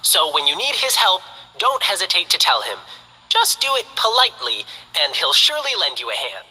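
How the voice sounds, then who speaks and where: A peppy young lion dancer. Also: female voice, fairly low pitch, huge pitch swings, brisk, bright, forceful tone, slightly rough, long reverb tail, exaggerated voice, rough recording. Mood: chatty. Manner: upbeat, playful, chatty.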